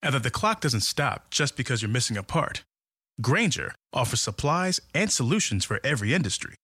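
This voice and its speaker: Husky male advert announcer, dry studio sound. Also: medium pitch, a bit bright, no echo. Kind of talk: scripted commercial narration.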